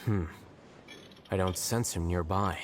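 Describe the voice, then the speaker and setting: A man playing a composed, aloof, analytical scholar in an anime game, speaking in a measured, matter-of-fact way. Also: low voice, very noisy. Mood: calm, detached.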